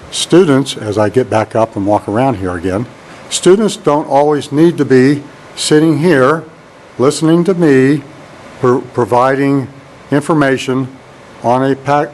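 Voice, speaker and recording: Man, deep monotone voice, rambling instructor, camera mic, faint hum of air conditioning, empty computer lab. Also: a little slow, rough recording. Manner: rambling, says "all right" often. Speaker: networking instructor. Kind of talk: casual classroom tour walkthrough. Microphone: handheld camera mic while walking.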